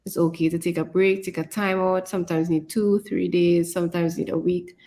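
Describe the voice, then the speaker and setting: Lower-pitched woman, encouraging author. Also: level tone, brisk, dark tone. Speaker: author, co-presenter. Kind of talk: casual conversational talk about writing.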